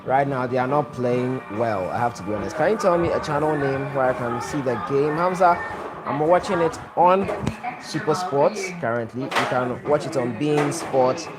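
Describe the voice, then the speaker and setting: A man's voice: mid-range voice, animated, moderate pace, over loud music. A man from Ghana hosting a live football watch-along, chatting and greeting viewers by name as he reads their comments. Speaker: watch-along stream host. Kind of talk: live stream chat reading viewer comments.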